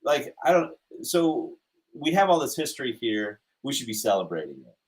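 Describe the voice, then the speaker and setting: A man's voice: medium pitch, sing-song, normal speed. A man from Utah chatting casually and easily as an interview guest. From Utah, United States. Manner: easygoing, conversational. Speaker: interview guest, animation studio co-founder. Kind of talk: casual chat.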